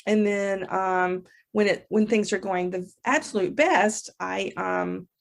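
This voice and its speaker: A woman's voice: medium pitch, slow pace, vocal fry. A woman novelist talking candidly and conversationally as a guest in a casual interview. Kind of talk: casual chat.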